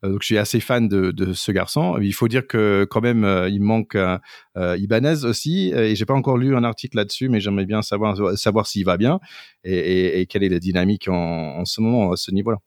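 Low-voiced man, non-native French speaker, dry sound. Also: fairly quick, no room sound. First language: not French. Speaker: rugby podcast co-host. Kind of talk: casual chat, sports discussion.

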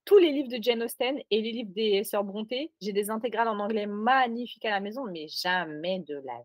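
A woman co-host speaking in punchy, slangy, self-mocking French. Her voice sits at a medium pitch and sounds dry and close, with no room echo. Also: fairly quick. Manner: emphatic, joking, slangy. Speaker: podcast co-host. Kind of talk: casual chat. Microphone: remote recording.

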